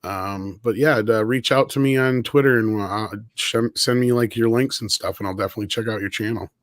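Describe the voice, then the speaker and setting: A man's voice: on the low side, steady tone, a little fast, no echo, clean studio sound. A man hosting a casual live panel chat, talking off the cuff with viewers and co-hosts. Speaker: host.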